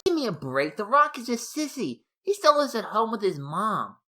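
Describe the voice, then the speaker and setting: A woman's voice: fairly high pitch, sing-song, normal speed, bright, forceful tone. A woman playing a cocky, argumentative young superhero fan in a comic dub, brash and slangy. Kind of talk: comic dub character acting.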